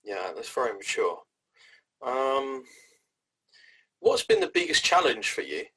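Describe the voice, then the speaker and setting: A man hosting a career interview, chatting in an animated, jokey way. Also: mid-range voice, unhurried, husky. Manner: animated, jokey, builds up examples. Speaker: host, career coach. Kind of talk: casual interview chat.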